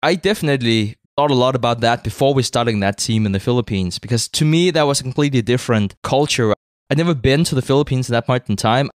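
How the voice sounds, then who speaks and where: A man from Denmark talks in accented English in a relaxed, rambling way, full of fillers and doubled words. His voice sits at a middling pitch and is recorded close up with no room sound. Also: quick pace, no room sound. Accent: Danish. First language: Danish. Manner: conversational, uses many fillers and repeated words. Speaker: podcast co-founder, guest. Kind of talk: interview conversation.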